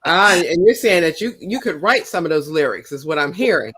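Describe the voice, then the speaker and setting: A woman hosting a podcast, introducing and questioning her guest in casual chat with encouraging prompts and quick laughs. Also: on the low side, lively intonation, a bit bright, slightly rough. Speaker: podcast host.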